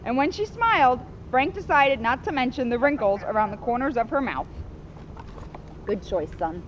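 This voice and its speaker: A woman reading a picture book aloud outdoors in an expressive, chatty way, with casual asides. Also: high voice, moderate pace. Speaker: police officer reading a children's book aloud. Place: outdoors at an elementary school. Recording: muffled, noisy outdoor recording with vehicle sounds.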